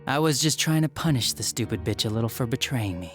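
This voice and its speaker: An actor playing a smug, spiteful young detective villain in a video game, with a sneering, flippant and theatrical manner. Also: male voice, medium pitch, expressive, fast talker, fairly bright tone, soft background music, lightly acted. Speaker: police detective. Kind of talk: dramatic video game voice acting.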